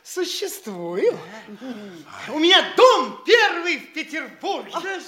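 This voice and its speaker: A man's voice: extremely high pitch, very animated, takes it slowly, pushed and bright, exaggerated voice. An actor playing a boastful, foppish young man in a theatrical stage comedy, gushing and stammering over his own boasts. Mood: excited bragging.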